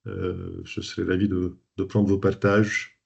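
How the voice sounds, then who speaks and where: Male coach from Lebanon, lowish voice, hesitant presenter style, muffled call audio. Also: level tone, unhurried, vocal fry. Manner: hesitant, many fillers and restarts. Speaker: coach and trainer hosting a webinar. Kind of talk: informal presentation to an online group. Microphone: video call. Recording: dull lo-fi video call audio.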